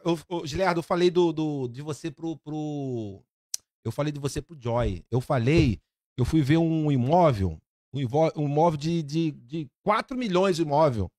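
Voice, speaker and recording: An animated man from Brazil chats as a podcast guest. His voice sits a bit high and swings widely in pitch as he acts out the people in his story. The sound is dry and close. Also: moderate pace, dry recording. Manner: lively, repeats words, acts out quoted dialogue with big pitch jumps. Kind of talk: animated storytelling in casual chat.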